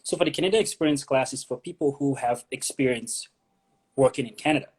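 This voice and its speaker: A man's voice: mid-range voice, quick pace, a little mellow, husky. A man from Nigeria, an immigration consultant, explaining pathways as an interview guest, often repeating words as he thinks.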